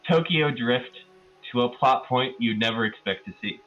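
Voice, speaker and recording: A man's voice: medium pitch, moderate pace, dull audio. A man giving a casual, rambling and amused recap of a tabletop roleplaying session as one of the players.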